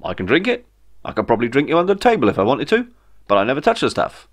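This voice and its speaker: Guy doing a breezy alien hairdresser pilot, chatty, lively pitch, comic audiobook. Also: very fast. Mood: exasperated. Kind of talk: comic sci-fi audiobook dialogue.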